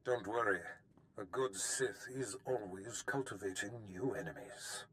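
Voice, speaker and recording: An actor playing a powerful, smugly commanding older Sith master in a video game, speaking formally and with measured pomp. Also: male voice, lowish voice, fairly bright tone. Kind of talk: scripted game dialogue.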